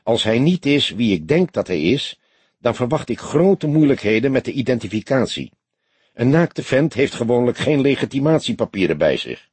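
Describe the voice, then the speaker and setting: A man's voice: medium pitch, very animated, normal speed, a little mellow, slightly rough, dry recording, pitched above the performer's own voice. A man voicing a patient, mocking, authoritative grey-haired old police detective in an audiobook. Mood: resigned, mocking. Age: older.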